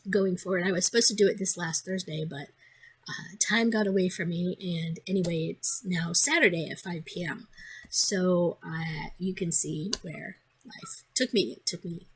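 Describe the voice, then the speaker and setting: A woman with a hoarse, congested voice, chatting casually in a crafting vlog, rambling with many ums and restarts. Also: fairly low pitch, measured pace. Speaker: crafting vlogger.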